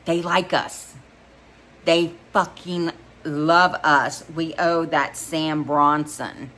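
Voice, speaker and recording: A woman voicing an excited, brash young male rock musician who swears and exclaims loudly in bro slang. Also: deep voice, drawn-out words, full character voice. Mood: elated, brash. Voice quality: loud, exclaiming.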